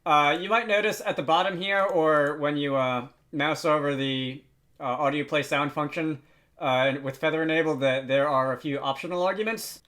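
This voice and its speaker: Guy doing a casual software walkthrough, slightly high voice, kinda halting as he explains stuff. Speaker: tutorial host. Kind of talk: casual software tutorial narration.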